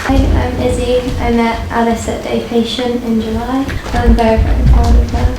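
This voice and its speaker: Even-toned woman reading in an echoey hall. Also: fairly high pitch, normal speed. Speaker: guest poetry reader. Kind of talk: poem reading to an audience. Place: indoor live launch event. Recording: echoey, noisy hall, far from mic.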